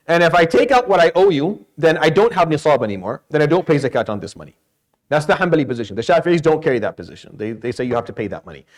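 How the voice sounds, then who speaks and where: A man from Syria giving an informal lecture on Islamic law, blunt and emphatic, mixing Arabic terms into his English. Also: fairly high pitch. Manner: blunt, emphatic, explains with role-played questions and answers.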